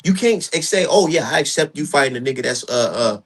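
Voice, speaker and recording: Husky, heated man in his thirties. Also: medium pitch, a little fast. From Minnesota. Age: 30s. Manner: animated, repetitive, heated ranting. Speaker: podcast host. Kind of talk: casual boxing talk.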